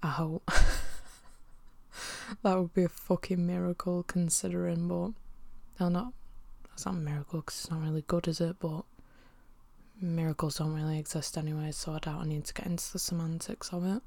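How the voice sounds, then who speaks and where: Sniffly woman, low dry voice. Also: level tone, moderate pace, creaky, no room sound. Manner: candid, rambling, frequent swearing, drifts into soft whispery asides. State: sniffly with a cold. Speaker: vlogger. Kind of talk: casual personal monologue. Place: at home by her desk.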